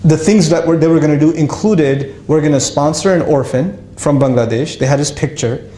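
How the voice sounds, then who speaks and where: A man casually telling his life story to an audience, lively and self-mocking, with frequent restarts. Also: a little high, normal speed, roomy sound.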